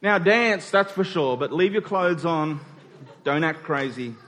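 High-voiced man preaching conversationally. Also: unhurried, fairly bright tone. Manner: rhetorical check-ins with the congregation; emphatic repetition of key phrases. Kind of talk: conversational church sermon.

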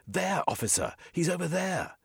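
A man voicing an urgent young male hero in a storybook reading, talking quickly and breathlessly as he tries to warn and escape. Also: medium pitch, sing-song, breathy edge, dry recording, clean studio sound, pitched above the performer's own voice.

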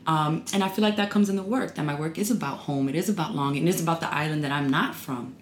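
Low-voiced woman poet in an echoey room. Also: quick pace, slight background noise. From United States. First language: Spanish. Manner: thoughtful, reflective, laughs easily. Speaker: poet and author guest. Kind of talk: casual interview conversation. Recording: echoey room with faint ambience.

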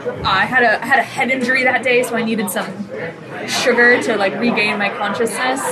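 A woman's voice: on the high side, fairly even intonation, fairly quick. A young woman chatting casually, rambling and laughing often, trailing off mid-thought. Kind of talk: casual chat. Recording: crowd noise behind.

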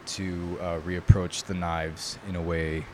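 A man's voice: sits low, little pitch movement, slow pace, background noise. A man from New York who grew up speaking Italian first, a guest talking casually in an interview, thoughtful, with many fillers. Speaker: guest, knife maker.

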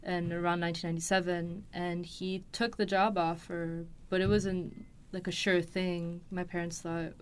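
A woman's voice: fairly low pitch, little pitch movement, moderate pace, few pauses, clear, resonant tone. A woman, telling a personal story in an interview, hesitantly piecing her thoughts together. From Los Angeles, USA. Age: 20s. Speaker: interview guest. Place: recording studio.

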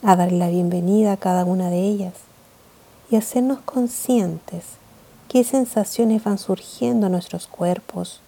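Calm lady doing guided meditation, really dark relaxed voice, kinda husky, gentle and measured. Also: medium pitch.

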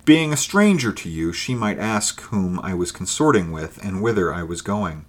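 A man's voice: low-pitched, lively intonation, normal speed. A man voicing an earnest, nervous young Puritan man, pleading a little in archaic speech.

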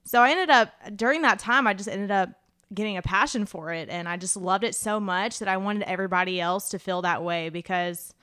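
A young woman, a podcast guest, talking casually in a loud voice and laughing easily. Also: medium pitch, a little fast, clear, resonant tone, no room sound, studio quality. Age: young adult. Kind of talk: casual interview answers.

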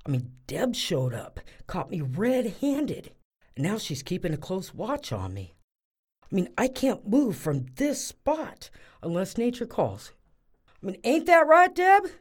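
Woman acting a husky, blunt grumbler. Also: medium pitch, wildly swooping pitch, unhurried, heavily acted. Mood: grumbling. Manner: blunt, dismissive. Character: blunt, grumbling woman gambler at a ball field. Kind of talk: audio drama acting.

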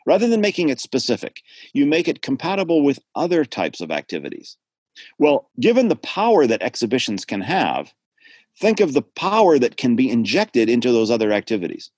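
A man's voice: medium pitch, brisk, no echo. A man speaking thoughtfully and at length as a guest in a discussion, over a video call from home. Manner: thoughtful, digressive, lively intonation. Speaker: art theorist guest. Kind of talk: reflective spoken lecture-like talk.

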